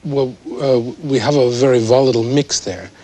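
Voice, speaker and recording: An actor playing a male psychoanalyst as a news expert, explaining in a measured but hesitant, halting way. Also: medium pitch, warm tone, natural voice. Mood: thoughtful, concerned. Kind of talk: naturalistic mock news interview.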